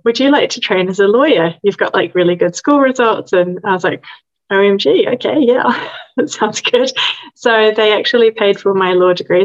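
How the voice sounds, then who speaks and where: A woman's voice: lowish voice, dull audio. A woman, a lawyer chatting casually as a podcast guest, with lots of likes and ums. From New Zealand. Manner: chatty, frequent likes and ums, laughs easily. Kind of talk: casual interview chat.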